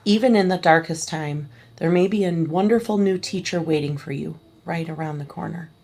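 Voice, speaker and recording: A woman reading a children's picture book aloud at a church service, gently and slowly, with small restarts. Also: on the low side. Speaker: story reader at a church service.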